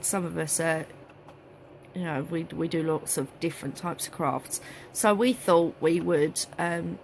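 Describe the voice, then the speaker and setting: A woman, chatting casually on a live phone stream from a craft studio, slightly hoarse and rambling with many fillers. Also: fairly low pitch, slight background noise. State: slightly hoarse, losing her voice. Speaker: craft group host. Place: cluttered craft studio, indoors. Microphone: phone held at arm's length.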